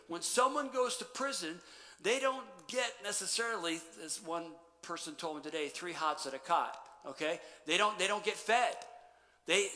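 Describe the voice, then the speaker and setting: Man, middle-aged, pastor, sermon, bright, fairly high voice, animated then quiet, long church reverb. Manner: animated preaching, dropping to quiet emphasis. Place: church sanctuary stage.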